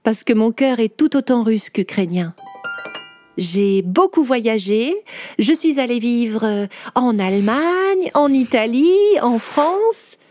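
A woman voicing a 19th-century woman writer who tells her own life story to children in a chatty, warm way, speaking directly to the listener. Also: on the high side, animated, takes it slowly, faint music behind. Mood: lively. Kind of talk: first-person storytelling for children.

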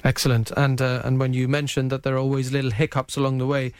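A man's voice: medium pitch, monotone, fast, clean tone, no echo, clean studio sound. A man with a Gibraltarian accent hosting a casual live radio interview, dropping the odd Spanish word into his English. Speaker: radio presenter interviewing guests. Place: radio studio.

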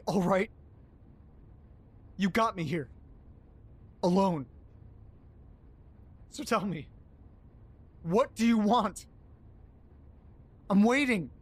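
A man acting in an audio drama as a frightened, hungover man alone in the dark, halting and repeating himself as he swears. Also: sits high, slight background noise. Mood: tense, wary.

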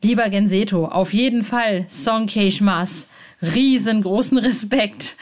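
A woman's voice: mid-range voice, unhurried, a little mellow. A woman hosting a podcast, interviewing in a casual, chatty and enthusiastic way. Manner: enthusiastic, informal.

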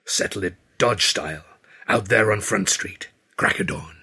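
Old Western gunslinger played by a male narrator, laconic storybook showman, pitched somewhat low. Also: measured pace, sharp tone, breathy edge, full character voice. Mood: laconic, goading. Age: older.

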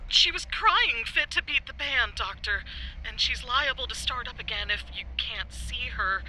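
Apologetic but insistent female childminder, piercingly bright, lively pitch, folksy audio drama delivery. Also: normal speed, a little hiss or hum, low-quality recording.

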